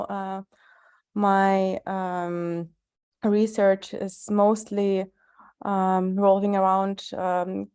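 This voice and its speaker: A woman's voice: mid-range voice, warm tone. A woman introducing herself on a video call, searching for words with many fillers. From Russia. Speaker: seminar participant.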